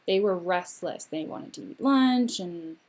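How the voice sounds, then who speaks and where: A young adult woman voicing a signed personal story, a mother recounting events in measured, phrase-by-phrase delivery with short pauses. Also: fairly low pitch, animated, darkish tone.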